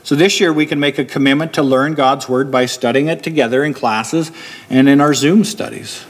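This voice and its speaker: A middle-aged man preaching a sermon from a church pulpit. He explains steadily and slows down to stress key points. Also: mid-range voice. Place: church hall with a wooden pulpit.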